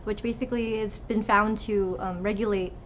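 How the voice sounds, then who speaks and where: A woman's voice: medium pitch, fairly even intonation, normal speed, a bit bright, slight background noise. A woman in her twenties from Hawaii, a student researcher giving informal interview answers in a laboratory. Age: 20s. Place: indoors in a laboratory.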